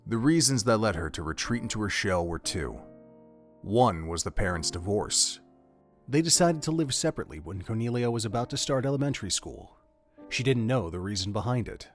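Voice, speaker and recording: A man's voice: fairly low pitch, expressive, fairly quick, soft background music. A man reading scripted third-person narration as the storyteller of an audio drama.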